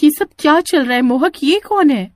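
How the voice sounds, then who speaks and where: A woman's voice: sits high, animated, normal speed, dry recording, studio quality. A woman voicing a bewildered young woman, a puzzled and questioning sweetheart in a cartoon story.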